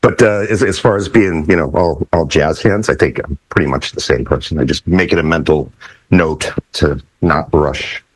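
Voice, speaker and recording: A man's voice: rumbling low, moderate pace, husky, no echo, poor audio. A man chatting casually as a DJ panelist, explaining things and acting out little examples as he goes. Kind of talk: casual chat with acted examples.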